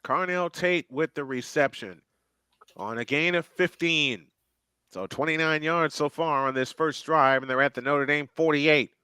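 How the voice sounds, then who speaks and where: Male play-by-play caller, pitch jumps on big plays, repeats himself; dry, close sound. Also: a little slow, pushed and bright, clear, resonant tone, no echo. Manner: rises sharply in pitch and volume on big plays, repeats phrases. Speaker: play-by-play announcer. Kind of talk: live football play-by-play commentary.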